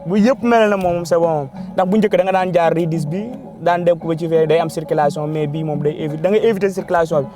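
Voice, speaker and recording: A man's voice: on the high side, steady tone, quick pace, few pauses, over music. A Senegalese taxi driver, a man, giving a casual interview and switching between two languages. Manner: mixes Wolof and French.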